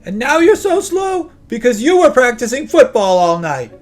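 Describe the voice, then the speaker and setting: A man's voice: very high-pitched, big pitch swings, moderate pace, faint music behind, pitched above the performer's own voice. A man voicing a mischievous little brother, a young boy who teases and goads. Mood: taunting. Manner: teasing, goading.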